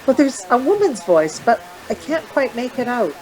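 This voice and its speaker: Woman playing a high-voiced, worried stranded traveller. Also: big pitch swings, normal speed, darkish tone, poor audio. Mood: concerned. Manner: concerned, questioning. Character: worried, supportive female traveller stranded in snowstorm. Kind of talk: naturalistic radio play acting.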